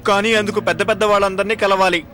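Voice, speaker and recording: A man's voice: high voice, little pitch movement, rapid-fire, music in the background, close to an everyday voice. A man playing an insistent young inventor friend who gives orders in a dubbed cartoon. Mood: excited pitching. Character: bossy young inventor friend, eager racing-team helper.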